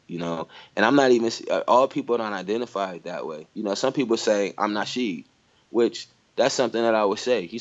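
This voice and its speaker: A man's voice: fairly low pitch, highs cut off. A man from Michigan in a casual conversation, thinking aloud with lots of "you know" and "like". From Michigan, USA. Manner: reflective, many fillers like "you know".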